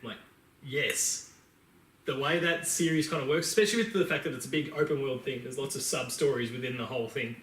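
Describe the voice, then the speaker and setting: A man's voice: mid-range voice, brisk, fairly bright tone. A man co-hosting a podcast, giving his opinions in casual conversation. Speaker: podcast co-host. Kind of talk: casual chat about film and games. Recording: echoey room, distant mic, faint noise.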